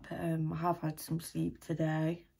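A tired British woman talks in a casual, rambling way, as if chatting to her viewers from a room at home. Her voice sits on the low side, with a gravelly creak and a husky, croaky edge. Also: monotone, a little slow, dark tone. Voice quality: deep, croaky. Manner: rambling, chatty, thinking aloud. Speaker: vlogger. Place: small room at home, indoors.

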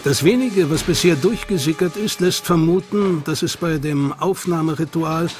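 Higher-pitched male documentary narrator. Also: moderate pace, music in the background.

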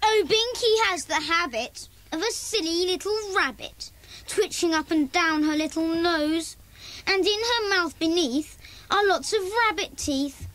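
A woman's voice: very high-pitched, a little slow, fairly bright tone, a little hiss or hum, poor audio. A woman playing a cheeky, clever child in a children's club. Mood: teasing.

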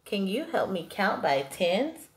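A young woman reading a children's picture book aloud in an animated, emphatic way, as a teacher would to small children. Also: medium pitch, measured pace, echoey room. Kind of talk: expressive children's storybook read-aloud. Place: small classroom-like room, indoors.

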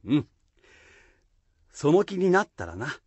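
A man's voice: a little high, sing-song, unhurried, a little mellow, breathy edge, no room sound, highs cut off, heavily acted. A man playing a lordly samurai warlord in a period radio drama, with weighty archaic speech. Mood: commanding. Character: lordly middle-aged samurai warlord, musing and commanding.

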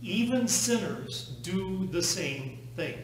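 Slow-preaching priest in an echoing church. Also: male voice, high voice, expressive, slight background noise. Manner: measured preaching with slow, deliberate pauses. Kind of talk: sermon. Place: large church sanctuary. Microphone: far camera. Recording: echoey church from far off.

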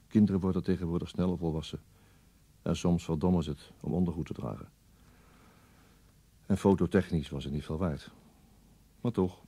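An actor playing a weary, brooding police detective in a radio play monologue, deliberate and resigned, with a husky, gravelly voice. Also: male voice, deep voice, mellow, no room sound, heavily acted. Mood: resigned, bitter.

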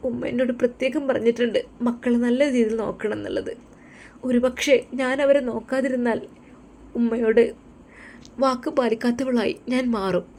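A woman putting on a devoted young wife from an audio story, her voice lifted higher and sweet, earnest and pleading. a faint hiss sits under the recording. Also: steady tone, normal speed, darkish tone, lightly acted.

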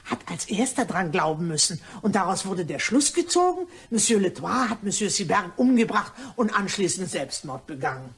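A woman's voice: medium pitch, very animated, normal speed, few pauses, breathy edge, heavily acted. A woman playing a gossipy, blithely indiscreet cleaning woman in a German crime radio play, telling her tales in a chatty, dramatic way. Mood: eager gossip.

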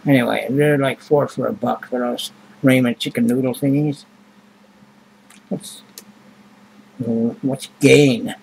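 A man's voice: medium pitch, fairly even intonation, slow, gravelly, pauses often, a little mellow, slight background noise, low-quality recording. A man, rambling and swearing casually as he reads prices off a receipt into a webcam at home. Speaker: vlogger. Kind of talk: casual chat. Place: small home room indoors.

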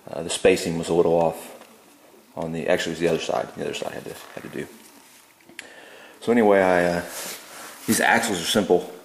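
Deep, flat-voiced man in an echoey garage. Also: brisk, slight background noise. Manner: relaxed and plain-spoken, many ums and uhs. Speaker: DIY builder showing his work. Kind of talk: casual how-to walkthrough. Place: indoor garage.

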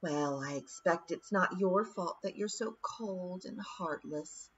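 A woman's voice: fairly low pitch, slow, fairly bright tone, lo-fi sound, slight background noise. A woman voicing a cold, cruel fairy queen who speaks in a silky, condescending, taunting way in an audiobook. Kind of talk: audiobook character voice.

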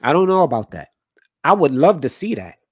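A man's voice: a little high, big pitch swings, fairly quick, breathy edge, no echo. Stuffy guy hosting a boxing stream, reads out the chat, dry close mic. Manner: conversational, opinionated, reads chat comments aloud. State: stuffy from a cold. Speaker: boxing livestream host. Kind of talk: casual talk with live chat. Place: home room with colored lights.

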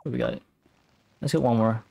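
A man giving casual, low-key gameplay commentary as a streamer, half muttering to himself. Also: huge pitch swings, a little slow, creaky, dark tone, a little hiss or hum. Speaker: game streamer. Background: game music.

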